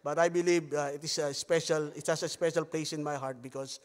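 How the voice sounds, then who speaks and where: A male preacher from the Philippines speaks English with a Filipino accent, his first language being Tagalog. His voice sits a little higher than most men's, and he pauses often with halting fillers between phrases. His words ring out across a church hall with a long trailing reverb. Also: fairly even intonation. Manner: halting delivery with frequent hesitations; softer, hushed tone while praying. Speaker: visiting missionary pastor. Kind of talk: sermon with Bible reading and prayer. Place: church sanctuary. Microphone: pulpit microphone.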